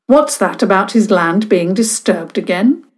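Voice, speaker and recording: A woman's voice: mid-range voice, expressive, unhurried, lightly acted. A woman voicing a serious young boy detective asking pointed questions. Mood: businesslike. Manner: businesslike, determined.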